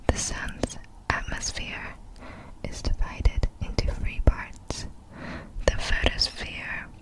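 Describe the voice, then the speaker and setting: A woman whispering softly in an ASMR style, reading out facts in short, measured, breathy phrases. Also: poor audio. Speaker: ASMR video creator.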